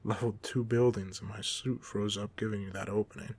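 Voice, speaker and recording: A man playing a goofy, soft-hearted supervillain off duty in an audio roleplay, talking casually with teasing, self-deprecating humor. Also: lowish voice, steady tone, fairly quick, breathy edge. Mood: boastful storytelling. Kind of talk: ASMR roleplay monologue, casual conversational acting.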